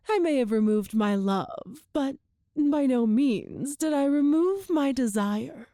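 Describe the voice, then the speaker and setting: A woman playing a cruel, smug, seductive empress in a scripted ASMR roleplay, speaking formally and measuredly with lingering pauses. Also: high voice, animated, dark tone, dry recording, studio quality, exaggerated voice. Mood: wry, seductive. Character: cruel all-powerful evil empress, coolly seductive and smug.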